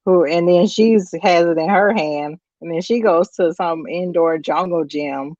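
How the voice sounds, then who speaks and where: Woman guest reviewer, mid-pitch, chatty filler-heavy recap, dry and dull audio. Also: measured pace, a little mellow, no room sound. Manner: chatty retelling with many fillers like "like". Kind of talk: casual chat.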